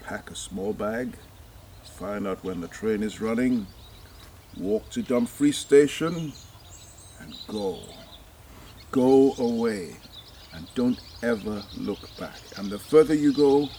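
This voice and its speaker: A man's voice: fairly low pitch, lively intonation, takes it slowly, dark tone. A man playing a weary, cynical doctor in an audio drama, with a hesitant, resigned, fatalistic manner. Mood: earnest urging. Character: weary, cynical, detached male doctor about to leave. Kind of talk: naturalistic audio drama acting. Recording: faint outdoor ambient noise. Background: birdsong, outdoor ambience.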